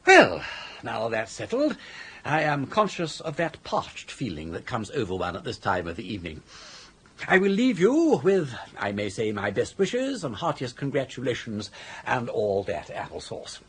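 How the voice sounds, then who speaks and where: An actor playing a genial, scheming older upper-class English gentleman with an urbane, florid, self-assured manner in a radio comedy drama. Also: medium pitch, wildly swooping pitch, normal speed, slightly rough, exaggerated voice.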